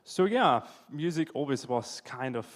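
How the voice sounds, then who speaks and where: A man's voice: medium pitch, lively intonation, measured pace. A man with an Austrian accent, a native German speaker, talking casually as a guest in a live interview. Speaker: interview guest, video game music composer. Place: indoor event hall before an audience. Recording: reverberant hall.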